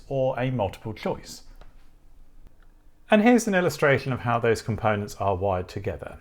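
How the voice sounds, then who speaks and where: A man with a British accent presenting a tutorial in a methodical, explanatory way. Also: mid-range voice.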